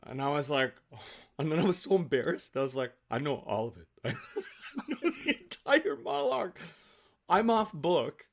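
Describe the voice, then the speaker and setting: A man, probably middle-aged, telling anecdotes casually in an animated, self-deprecating, halting way as an interview guest. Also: on the high side, creaky. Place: home office, on a remote call.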